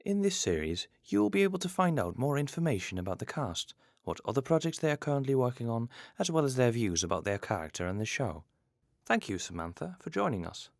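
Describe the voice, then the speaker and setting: A man's voice: medium pitch, very animated, fairly quick, no echo, clean studio sound. A man hosting an interview series, reading formal, scripted introductions and questions.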